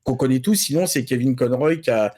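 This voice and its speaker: A man chatting casually as a panellist on a pop-culture news show, halting and repeating words as he builds his sentences. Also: medium pitch, mellow. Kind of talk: casual group chat.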